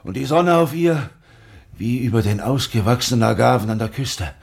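Husky, brooding old-style sea captain. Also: male voice, mid-range voice. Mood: bitter, wistful. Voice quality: husky, gravelly. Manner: halting, reflective. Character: brooding, world-weary male sea captain. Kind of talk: literary German radio play acting.

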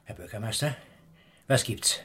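An actor playing a meek, cornered police commander in a radio drama, hesitant and terse. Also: male voice, lowish voice, animated, normal speed, a bit bright, husky, exaggerated voice. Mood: uneasy. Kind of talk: German radio drama acting.

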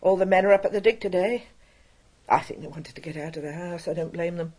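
A woman playing a brisk, reserved, frank spinster assistant in a radio drama, with an English accent and a husky, matter-of-fact delivery. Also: fairly low pitch, a little mellow, natural voice.

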